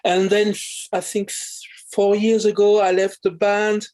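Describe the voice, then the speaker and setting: A man with a French accent and a notably high voice chats as a musician guest. He talks casually and hesitantly, giggling now and then. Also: level tone, unhurried, a little mellow. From Paris, France. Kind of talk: casual interview chat.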